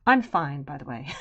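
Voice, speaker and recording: A woman with a naturally low voice, chatting casually and rambling to her live-stream viewers while she draws. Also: breathy edge. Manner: chatty and rambling, drifting into murmurs and humming while she works. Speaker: streamer and artist. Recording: dull lo-fi stream audio.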